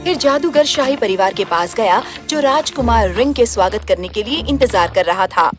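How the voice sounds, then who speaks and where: A woman narrates a children's fairy tale from a script. Her voice is fairly high, and music plays clearly behind her. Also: expressive, normal speed.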